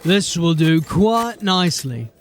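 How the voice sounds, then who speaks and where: A man playing a stern, hard-bitten, commanding police sergeant in a dark fantasy audio drama. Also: fairly high pitch, expressive, takes it slowly, a little hiss or hum. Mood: wry, determined. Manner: curt, commanding.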